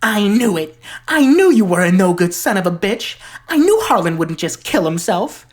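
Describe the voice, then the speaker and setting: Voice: mid-range voice, sing-song, normal speed, pitched above the performer's own voice. A performer reciting as a defiant, anxious young woman with an earnest, accusing manner. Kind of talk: dramatic recitation of a film scene.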